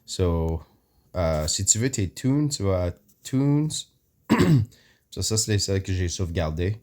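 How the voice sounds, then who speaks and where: Slow, deep-voiced man explaining an app. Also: very animated, a little mellow. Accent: English Canadian. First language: English. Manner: hesitant, many fillers, mixes in English words; frequent throat clearing. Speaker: app tutorial presenter. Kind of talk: casual screen walkthrough.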